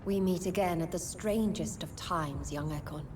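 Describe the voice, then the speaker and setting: A woman playing a wise old vampire in a video game, calm and cryptic. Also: on the low side, moderate pace, background noise, close to an everyday voice. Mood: grave, knowing. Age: older.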